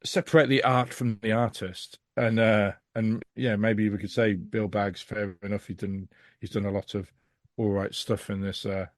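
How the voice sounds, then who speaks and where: A man's voice: lowish voice, flat delivery, moderate pace, no room sound. Blunt man in a dry, close recording. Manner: blunt, opinionated, sweary. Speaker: podcast guest. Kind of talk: casual conversational critique.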